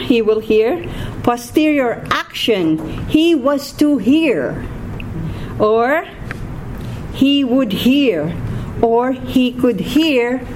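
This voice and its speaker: A woman with a Filipino accent teaching a grammar class, patient and repeating key words, often checking in with "okay?". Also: on the high side. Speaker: Hebrew teacher. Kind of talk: classroom lecture. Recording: noisy room recording.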